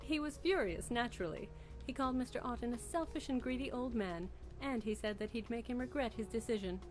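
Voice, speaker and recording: An actress playing a composed woman in a visual novel, speaking in a formal, measured and precise way. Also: a little high, lightly acted. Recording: music bed behind the voice.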